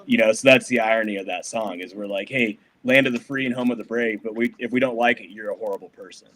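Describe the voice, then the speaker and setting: A man's voice: fairly low pitch, fast talker, fairly bright tone. A middle-aged man from Colorado, a musician guest talking casually in a rambling, laid-back way. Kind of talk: casual podcast interview. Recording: noisy background on a remote line.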